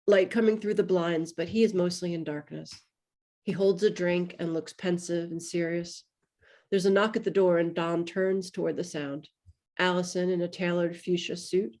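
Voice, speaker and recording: A woman's voice: lowish voice, a little mellow. A woman giving scripted narration, reading stage directions for a table read.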